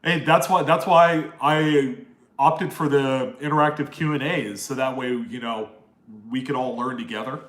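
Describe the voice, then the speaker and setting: A man's voice: mid-range voice, moderate pace, a bit bright, echoey room. Nasal-voiced man hosting a live chat. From East Coast US, Maryland. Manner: rambling and friendly, reading out viewer names. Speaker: live stream host answering chat questions.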